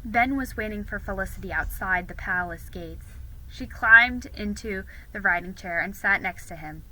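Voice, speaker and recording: A woman giving an expressive, dramatic storybook reading as an amateur narrator. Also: mid-range voice, moderate pace, low-quality recording.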